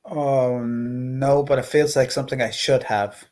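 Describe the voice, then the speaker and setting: A man's voice: mid-range voice, fairly even intonation, a little slow, darkish tone. Man tossing out offhand jokes. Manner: joking, offhand banter. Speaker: co-host. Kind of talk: casual live-stream chat.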